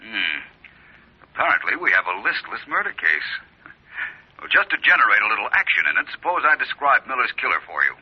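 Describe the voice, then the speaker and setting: An actor playing a suave, witty gentleman detective in an old-time radio drama, with urbane, teasing, formal phrasing. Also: lowish voice, very animated, fairly quick, breathy edge. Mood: assured, wry. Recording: dull, lo-fi vintage radio recording.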